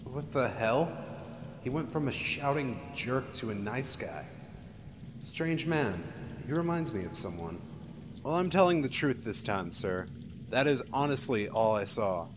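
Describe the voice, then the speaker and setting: A man's voice: medium pitch, animated, a little slow, fairly bright tone. A man playing a nervous, defensive young witness in an amateur radio play. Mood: wary, puzzled. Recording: faint noise, rain behind.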